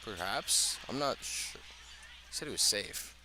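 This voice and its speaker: A man doing live radio baseball play-by-play, speaking in measured short phrases with pauses between them. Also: fairly low pitch, lively intonation, noisy background. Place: outdoors at a ballpark.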